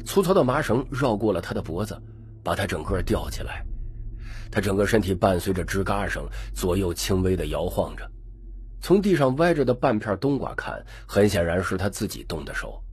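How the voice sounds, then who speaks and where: A man's voice: low voice, lively intonation, normal speed, slightly rough. A man narrating a scripted, dramatic audiobook story.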